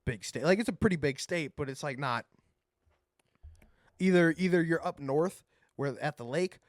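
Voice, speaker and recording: A man's voice: on the high side, animated, moderate pace, dry recording, studio quality. A young man from Ohio co-hosting a podcast, rambling loosely in casual chat, swearing freely and hedging when unsure of facts. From Ohio, USA. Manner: loose and rambling, swears often, hedges with "I don't remember". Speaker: podcast co-host.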